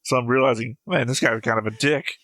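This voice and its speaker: A man's voice: mid-range voice, fairly quick, a bit bright. A man hosting a casual reaction show, breaking down scenes with wry, blunt commentary.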